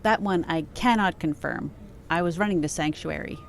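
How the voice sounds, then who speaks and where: A woman playing a guarded ally in an audio drama, with a dry, prickly manner, over faint rumbling noise. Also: normal speed, creaky.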